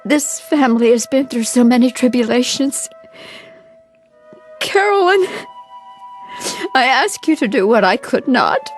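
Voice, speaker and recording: An emotional, high-voiced grieving daughter. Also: female voice, expressive. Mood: mournful. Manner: halting, emotional. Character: grieving grown daughter stunned by her mother's secret. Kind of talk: audio drama acting. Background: sentimental theme music.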